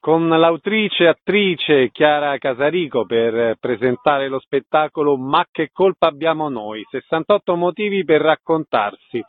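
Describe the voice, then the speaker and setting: A man's voice: fairly high pitch, lively intonation, measured pace, few pauses, faint music behind. A man hosting a radio arts programme, giving scripted introductions and putting questions to guests. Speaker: radio interviewer and host. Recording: narrow, tinny radio sound.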